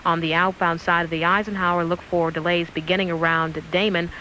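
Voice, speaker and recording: A woman's voice: lowish voice, flat delivery, fairly quick, bright, forceful tone, low-quality recording. An American woman giving a radio traffic report.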